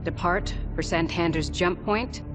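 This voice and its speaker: A woman's voice: on the low side, measured pace, over music, close to an everyday voice. A woman playing an imperious clan war leader in a game cutscene, giving orders with stern, declamatory authority. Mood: commanding. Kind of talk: video game cutscene acting.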